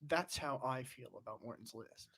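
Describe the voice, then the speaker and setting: A man's voice: medium pitch, normal speed, a bit bright. A man with an ordinary mid-range voice co-hosts a casual livestream. He jokes and banters with a playful, easy manner. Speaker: podcast co-host.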